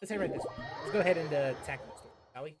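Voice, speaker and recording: A man's voice: fairly high pitch, very fast, over music. A man streaming a game with casual, playful, rambling commentary, often repeating his phrases. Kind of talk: casual live playthrough commentary.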